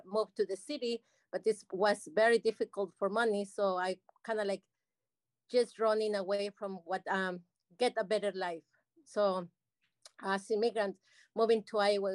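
Mexican-accented woman, Spanish native, earnest panel guest on a video call, dead dry sound, mid pitch. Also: no room sound. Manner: earnest, simple phrasing with frequent fillers. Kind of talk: personal migration story on a video call.

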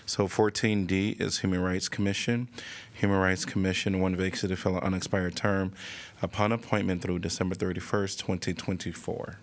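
Deep-voiced man chairing a meeting. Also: level tone. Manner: measured, procedural. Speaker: mayor chairing a council meeting. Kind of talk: chairing a public meeting, reading agenda items.

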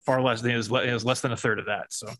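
Male commission chair, procedural and halting, mid-pitched. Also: fairly even intonation, fairly bright tone. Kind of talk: meeting chairing.

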